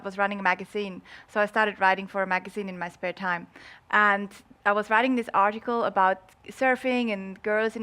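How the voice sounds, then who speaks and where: A woman's voice: mid-range voice, bright, forceful tone. A woman from Switzerland with a Swiss accent, chatting casually and playfully as an interview guest. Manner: playful, joking. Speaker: interview guest, marketing entrepreneur.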